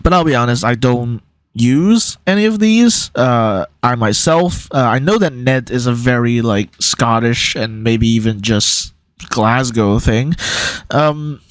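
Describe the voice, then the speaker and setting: A young man from Hong Kong with a neutral, American-leaning accent, chatting through a quiz at home. He rambles and hesitates, often pausing with fillers, at a middle pitch. The sound is dry and close, with almost no room echo. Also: lively intonation. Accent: neutral American-ish. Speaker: YouTuber. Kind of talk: casual quiz commentary.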